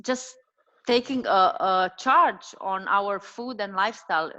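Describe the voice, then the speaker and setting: A woman's voice: medium pitch, measured pace, highs cut off. A woman in her forties from Romania with a Romanian accent, talking as a dietitian guest in a casual interview, with many fillers and restarts. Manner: warm, animated, frequent fillers and restarts.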